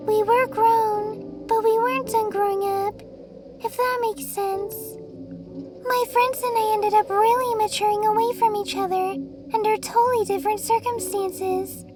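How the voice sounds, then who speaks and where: A woman voicing a gentle, weary pony mare who serves as Death, speaking softly, tenderly and wistfully in an audio drama. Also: very high voice, fairly even intonation, unhurried. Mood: hesitant, wistful. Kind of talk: audio drama character dialogue. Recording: loud music bed, slightly distant. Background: loud music.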